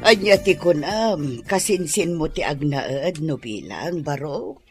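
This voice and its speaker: A woman playing a jolly, joking landlady with a husky voice in an Ilocano radio drama. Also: sits low, lively intonation, takes it slowly, music in the background. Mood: jovial.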